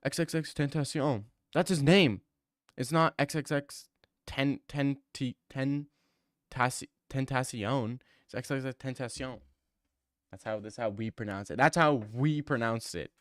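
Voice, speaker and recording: A man's voice: mid-range voice, unhurried, dry recording, studio quality. A young man streaming and answering viewer comments in a casual, teasing way, full of mock bravado.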